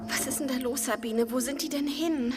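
An actress playing a fretful, needy wife in a radio crime drama, anxious and confiding. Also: female voice, high voice, fairly quick, music in the background, close to an everyday voice.

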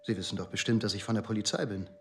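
Probing, clipped police inspector. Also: male voice, lowish voice, fairly even intonation, racing, a bit bright, a little hiss or hum. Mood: probing, formal. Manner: clipped, formal, questioning. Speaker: radio drama actor. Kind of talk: radio crime drama acting.

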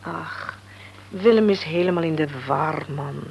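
An actress playing a middle-aged mother in a radio drama, explaining things patiently and calmly. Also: low-pitched, a little slow, gravelly, slight background noise. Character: calm, wise mother of an adult daughter.

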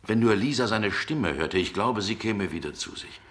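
An actor playing an irritable, commanding gentleman, brusque and imperious, in a 1960s German radio drama. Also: lowish voice, lively intonation, moderate pace. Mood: agitated, grim. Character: irritable, commanding 19th-century gentleman, nervous and domineering.

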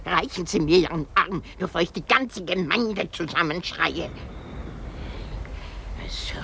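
A woman's voice: fairly low pitch, unhurried, gravelly, sharp tone, slight background noise, exaggerated voice. An actress playing an elderly, cantankerous, self-pitying woman in a radio drama, exclaiming and groaning with effort. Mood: indignant, demanding.

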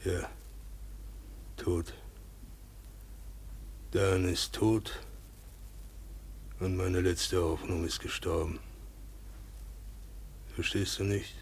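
Radio drama: embittered colonial father, middle-aged, slow and halting, gravelly, full of despair. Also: low voice, level tone, slight background noise, full character voice. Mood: stunned despair. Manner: brooding, halting, anguished. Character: embittered, despairing European trader and father in the East Indies. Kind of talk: mid-century German radio play acting.

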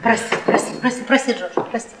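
An actress playing a brash, domineering middle-aged wife who goads and mocks in a theatrical radio play. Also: female voice, on the high side, fast, lightly acted. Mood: gleefully taunting. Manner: mocking, theatrical, goading. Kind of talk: stage-style radio play acting. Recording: echoey radio play, music behind.

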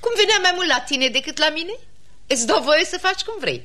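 An actress playing a kindly, inquisitive middle-aged aunt in a genteel radio comedy style. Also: female voice, very high-pitched, very animated, pushed and bright, exaggerated voice. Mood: mild, indulgent. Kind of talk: classic radio theatre comedy acting.

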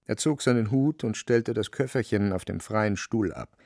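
A man narrating a literary radio play as scripted storytelling, with a creaky voice. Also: on the low side, normal speed, a little mellow, no room sound, studio quality.

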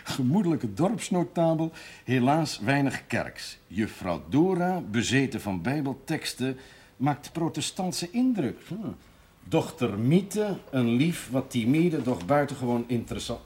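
An actor playing a wry, teasing parish priest who relishes life, theatrical in manner, in a television drama on an old soundtrack. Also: male voice, medium pitch, sing-song, a little slow, a little mellow. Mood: musing. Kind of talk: 1970s Dutch television drama acting.

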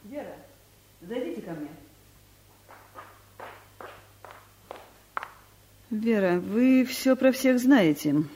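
A woman's voice: on the high side, expressive, measured pace, dark tone, slight background noise, low-quality recording. An actress playing a stern, imperious female director in a radio comedy, speaking formally and categorically in short questions and statements. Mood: brisk, curious. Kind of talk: radio comedy play acting.

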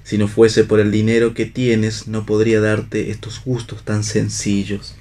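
A cynical, philosophizing husband. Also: male voice, on the low side, monotone, unhurried, darkish tone. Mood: somber irony. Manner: literary, ironic, argumentative. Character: cynical, pipe-smoking husband, disillusioned and philosophical unbeliever. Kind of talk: staged radio play reading.

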